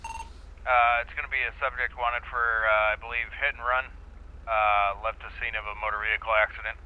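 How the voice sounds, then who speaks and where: A man making businesslike police radio calls in roleplay, pausing as he goes. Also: mid-range voice, little pitch movement, phone-quality sound. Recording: radio chatter over faint game noise.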